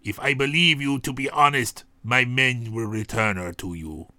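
A man reading aloud voices a cold, calculating male robber who speaks slowly and threateningly. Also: medium pitch, bright, forceful tone, dry recording. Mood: menacing.

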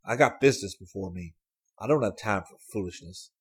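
A brooding, short-tempered young man, read by a male narrator, his husky voice a touch louder than the narration. He speaks stiffly, cutting his lines short in a storybook reading. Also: fairly low pitch, brisk. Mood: curt. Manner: stiff, irritable. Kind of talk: audiobook character voice.

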